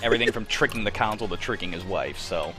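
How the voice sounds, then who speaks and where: A man's voice: on the low side, fast, a bit bright, soft background music. A young adult man hosting a livestream, chatting in an informal, joking way. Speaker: livestream host. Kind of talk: casual chat.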